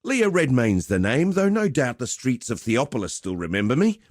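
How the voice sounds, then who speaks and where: Swaggering, wildly animated arena promoter. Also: male voice, mid-range voice, normal speed, no echo, clean studio sound. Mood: swaggering welcome. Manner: theatrical, chummy, flowery slangy patter. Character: swaggering, cheerful arena fight promoter, roguish showman. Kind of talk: video game character voice lines.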